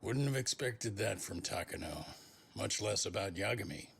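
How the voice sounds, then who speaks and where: An actor playing a wry, skeptical, weary male superior in a video game, musing dryly and deliberately. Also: on the low side, lively intonation, creaky, fairly bright tone, husky, full character voice. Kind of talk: English video game dub, cutscene acting.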